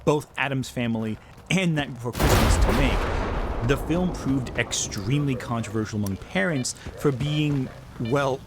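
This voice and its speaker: A man's voice: mid-range voice, unhurried, very noisy. A man delivering scripted video-essay narration with casual, wry, incredulous asides.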